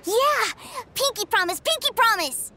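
Eager little boy, very high. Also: lively intonation, a little slow, sharp tone, a little hiss or hum, heavily acted. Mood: excited. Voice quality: childlike. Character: innocent, eager little boy, younger brother. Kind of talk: video game voice acting. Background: music.

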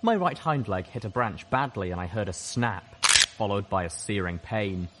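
A man with a fairly low voice narrates a scripted story in the manner of an audiobook. There is some faint noise behind his voice. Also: animated, moderate pace, pushed and bright.

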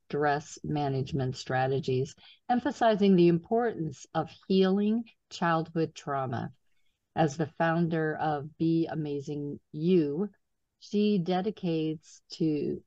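A middle-aged woman with a low voice hosts a podcast in a friendly, upbeat manner. She sounds dry and close on a slightly dull webcam mic. It is a relaxed interview chat from home. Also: no echo. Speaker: podcast host. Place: home office indoors. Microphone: video call webcam.

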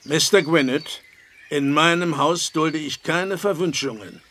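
An actor playing a strict, troubled farmer and head of household, formal and plain-spoken, in a German audio drama. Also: male voice, on the high side, measured pace, fairly bright tone, slight background noise. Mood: strict, grave.

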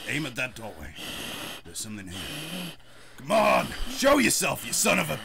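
An actor playing an impatient squad leader giving combat orders in a science-fiction audio drama. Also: male voice, mid-range voice, huge pitch swings, normal speed, gravelly, pushed and bright, heavily acted. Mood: urgent, alarmed.

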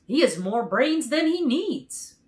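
A woman voicing an emphatic, awed countryman who knows of a great wizard, in a deliberate storybook read-aloud. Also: sits high, very animated, darkish tone, pitched above the performer's own voice, full character voice. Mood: confident.

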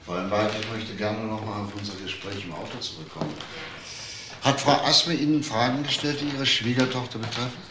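A man playing a calm, probing police inspector in a German crime radio play, asking questions in a measured, polite way. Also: lowish voice, noisy background, lightly acted.

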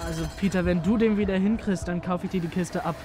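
A man's voice: sits high, steady tone, fast talker, noisy background, lightly acted. A young man playing an earnest, awkward amateur detective in film dialogue, speaking politely and hesitantly. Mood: businesslike. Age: young adult.

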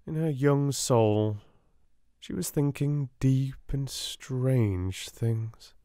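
Slow soft-spoken British man reading aloud. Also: mid-range voice, dry recording, studio quality. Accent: British English. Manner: slow, gentle, measured. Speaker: narrator.

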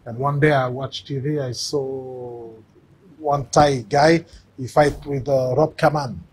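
Brief: French-accented man in his forties, husky mellow voice, halting speech full of fillers, seated in a gym ring. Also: mid-range voice, fairly even intonation, poor audio. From Cameroon. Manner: halting, simple phrasing with many fillers. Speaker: guest, former fighter. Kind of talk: casual interview.

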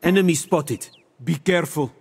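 Ra, a theatrical mystic seer god in reverb. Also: male voice, a little high, a little mellow, breathy edge. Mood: composed, tactical. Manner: theatrical, measured, formal. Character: mystic male seer deity, serene, grandiose, smugly wise. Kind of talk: video game character voice lines.

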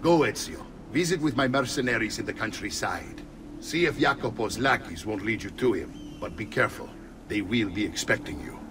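A man playing a hearty, commanding Italian uncle, very animated. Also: normal speed, background noise, close to an everyday voice. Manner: booming, theatrical, authoritative. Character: hearty middle-aged Italian uncle, commanding mercenary leader. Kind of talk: video game cutscene acting. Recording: reverberant, game music behind.

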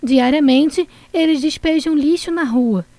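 A woman reporting on the news, narrating the story in a clear, measured voice-over. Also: high-pitched, dark tone, dry recording.

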